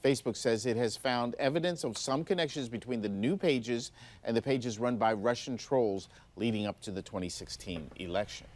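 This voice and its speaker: A man's voice: mid-range voice, moderate pace. A man anchoring morning television news, reading stories from a script.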